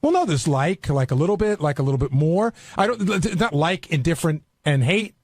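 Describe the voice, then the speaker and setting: A man's voice: on the high side, big pitch swings, brisk, a little mellow, dry recording. A man talking casually as a panelist on a radio show, candid and ready to argue his point.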